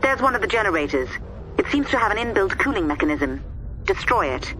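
An actress playing a sharp, urgent military science adviser in a video game, giving precise briefings. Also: female voice, mid-range voice, sing-song, fairly quick, background noise, lightly acted. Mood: focused briefing. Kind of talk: scripted video game voice acting.

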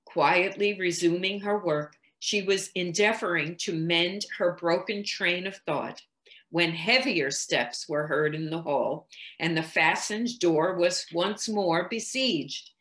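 An older woman reading a literary story aloud in an expressive, measured, articulate manner. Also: lowish voice. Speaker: reader.

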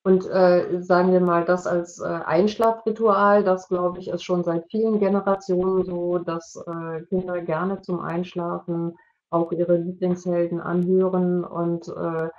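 Low-pitched, hesitant woman on a dull call. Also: level tone, a little mellow. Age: middle-aged. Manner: hesitant, pausing, long winding sentences. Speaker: expert panellist. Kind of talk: spontaneous explanation in an online discussion. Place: indoor room, video call. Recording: dull, lo-fi video-call audio.

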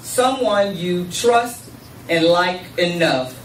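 An older woman giving a measured, deliberate address at a church lectern, holding a handheld microphone. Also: lowish voice, lively intonation, a little hiss or hum. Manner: measured, deliberate, with congregation responses. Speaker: church speaker. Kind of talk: spoken address at a church service. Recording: echoey room, camera far off.

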